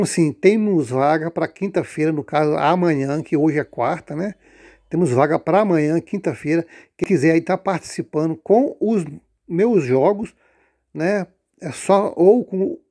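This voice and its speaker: A man casually pitching a lottery pool, rambling with many fillers and restarts. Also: dark tone.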